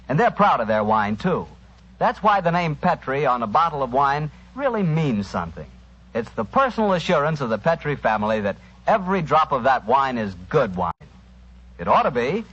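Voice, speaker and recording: A man's voice: mid-range voice, fairly bright tone, husky. An American man as a 1940s radio announcer, delivering scripted, folksy sponsor patter. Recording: muffled 1940s radio recording with music.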